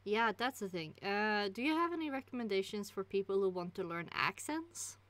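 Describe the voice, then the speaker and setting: A woman with a bright, forward tone hosts a relaxed stream chat. She hums and muses between questions and keeps the talk easygoing. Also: medium pitch, moderate pace, no echo. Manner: casual and chatty, with frequent laughs and hums. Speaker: stream host. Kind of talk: casual livestream chat.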